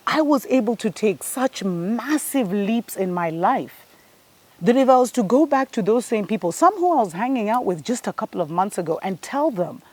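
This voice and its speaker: A woman's voice: mid-range voice, darkish tone, no echo. A woman, hosting a spiritual show and giving an earnest, preachy monologue that speaks to her listeners as family. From Kenya.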